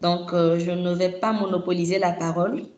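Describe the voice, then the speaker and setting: A woman's voice: on the low side, fairly even intonation, moderate pace, a little mellow, reverberant, dull audio. A woman moderating a business webinar over a video call, speaking in a formal, polite and structured way. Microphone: video call microphone.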